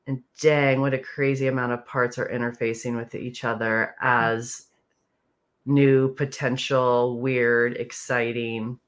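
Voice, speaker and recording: A woman therapist co-hosts a podcast in a casual, joking tone. Her voice sits deeper than usual, and her delivery is quick-witted and wry.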